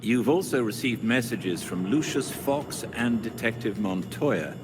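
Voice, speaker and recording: A man's voice: medium pitch, expressive, close to an everyday voice. A man playing a formal, dryly witty older butler and mentor in a video game cutscene. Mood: gently advising. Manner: formal, measured, dry. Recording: music behind.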